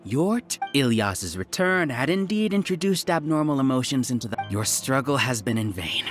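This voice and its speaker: Actor as an arrogant, grandiose villain, swooping delivery. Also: male voice, mid-range voice, big pitch swings, normal speed, natural voice. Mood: contemptuous. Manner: grandiose, commanding, condescending. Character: arrogant male hive-mind villain calling himself the Overmind. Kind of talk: dramatic video game voice acting. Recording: loud game music behind the voice.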